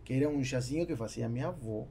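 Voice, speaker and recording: A man talks as a podcast guest. His mid-pitched voice has a lot of vocal fry. He explains things with anecdotes on a close studio mic, with faint noise behind. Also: quick pace, mellow. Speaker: podcast guest, business owner. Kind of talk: conversational interview, explaining with anecdotes. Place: small foam-treated podcast studio. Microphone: boom-arm studio microphone, close.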